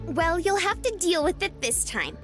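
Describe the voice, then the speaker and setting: A woman voicing a bubbly, affectionate young woman in a visual novel, playful, girlish and expressive. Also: very high-pitched, normal speed, over music, full character voice. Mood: playful teasing.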